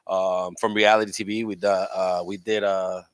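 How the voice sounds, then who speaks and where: A man in his forties from Chicago chatting casually as an interview guest, slangy and a little halting. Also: deep voice, little pitch movement, no echo. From Chicago, born in Puerto Rico. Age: 40s. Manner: informal, slangy, hesitant phrasing. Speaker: guest, event venue owner.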